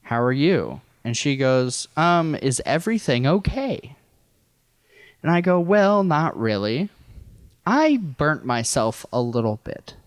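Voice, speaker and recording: A man's voice: fairly high pitch, big pitch swings, takes it slowly, no echo. An American man from rural Missouri telling a personal story casually as a podcast guest, in a wry, self-deprecating, profane way. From rural Missouri, United States.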